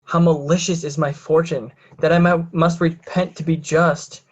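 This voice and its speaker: A man's voice: a little high, little pitch movement, normal speed, a little hiss or hum, natural voice. Man as a scheming young villain, muffled recording. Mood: feigned regret. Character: scheming hypocrite Edmund, ambitious young bastard son. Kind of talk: remote reader's theatre Shakespeare.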